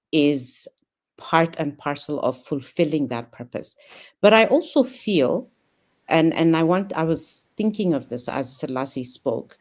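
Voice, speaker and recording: A middle-aged woman from Ethiopia, a panel guest telling her personal story in a thoughtful way, pausing often with ums and restarts. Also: low-pitched, a little mellow.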